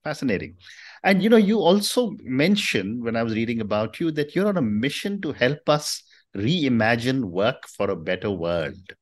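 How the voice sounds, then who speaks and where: Man, podcast host, interview questions, measured, affirming. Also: mid-range voice, sing-song.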